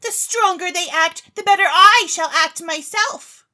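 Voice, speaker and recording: A woman's voice: extremely high pitch, a little slow, sharp tone, voice pushed up, exaggerated voice. A woman voicing a vain, dim-witted, gushing young lady of wealth in a theatrical storybook reading. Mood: breezy confident.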